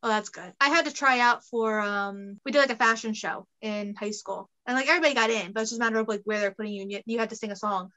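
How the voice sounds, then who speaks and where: Bright, chatty young woman. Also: fairly high pitch, steady tone. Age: late 20s. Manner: chatty, laughs often, quick asides. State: pregnant. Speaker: guest costumer. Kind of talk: casual video-call chat. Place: home room, indoors. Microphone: video call.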